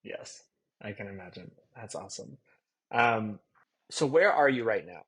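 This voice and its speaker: Low husky male podcast host. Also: lively intonation, a bit bright. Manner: quick enthusiastic reactions. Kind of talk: interview.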